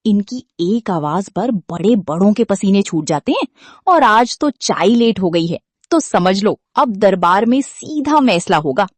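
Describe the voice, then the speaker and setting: A woman's voice: medium pitch, big pitch swings, a little slow, slightly rough, dry recording. A woman playing a cheeky modern daughter-in-law who narrates a family cartoon in a playful, dramatic way. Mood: playful, teasing. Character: cheeky, modern young daughter-in-law introducing her household. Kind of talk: scripted storytelling addressed to viewers.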